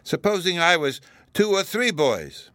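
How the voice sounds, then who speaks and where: A man's voice: medium pitch, moderate pace, a bit bright, no echo, clean studio sound, pitched above the performer's own voice, close to an everyday voice. A man voicing an earnest, stubborn small boy who talks in childish grammar with babyish mispronunciations.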